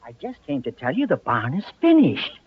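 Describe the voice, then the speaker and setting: An unbothered union carpenter in old radio comedy. His voice sits high and moves up and down a lot. The recording is muffled, with clear noise. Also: wildly swooping pitch, normal speed. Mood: matter-of-fact. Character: unhelpful, union-minded carpenter, cheerfully matter-of-fact. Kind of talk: 1950s radio comedy acting.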